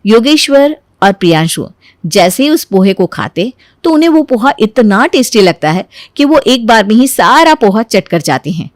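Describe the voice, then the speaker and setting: A woman reading scripted storybook narration for an animated moral tale. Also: on the high side, moderate pace, dry recording, clean studio sound.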